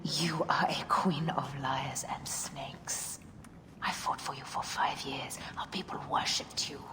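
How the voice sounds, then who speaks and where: An actress playing a solemn, resolute woman assassin in a video game, speaking in a hushed, grave and deliberate way. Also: a bass voice, fairly even intonation, in a whisper. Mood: bitter, accusing. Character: solemn, resolute woman assassin, betrayed former servant of queen. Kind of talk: dramatic video game acting and narration. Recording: music under the voice. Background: music.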